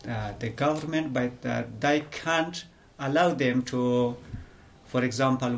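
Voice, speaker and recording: A middle-aged man from Afghanistan, a guest in a radio interview, telling his life story in halting full of pauses and fillers. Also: medium pitch, gravelly. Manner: halting non-native English with many pauses and fillers. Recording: faint background noise.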